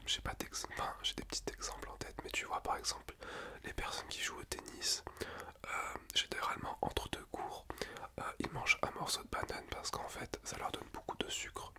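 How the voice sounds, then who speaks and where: A man whispering softly as a school nurse in an ASMR roleplay, gentle and reassuring, chatting at length in a relaxed, rambling way. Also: moderate pace. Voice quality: soft unvoiced whisper.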